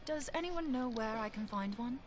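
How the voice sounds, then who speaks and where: Animated, self-assured female engineer, played by an actress. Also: fairly high pitch, moderate pace, over music, natural voice. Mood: keen, breezy. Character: confident, practical female engineer, breezy and inventive. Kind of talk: video game cutscene acting.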